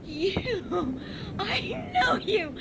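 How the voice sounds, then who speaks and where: A woman's voice: extremely high pitch, very animated, extremely slow, gravelly, heavy background noise, voice pushed up, heavily acted. A woman voicing a frightened but defiant female swimmer in peril at sea, crying out in simple, emphatic words. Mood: hysterical, defiant.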